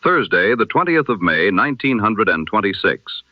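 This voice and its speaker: Through a dull, band-limited old radio filter, a man announces the news in the style of a 1920s bulletin. His voice sits a little low and moves expressively up and down. The delivery is formal, solemn and oratorical, with very little room sound. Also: big pitch swings, moderate pace, no echo.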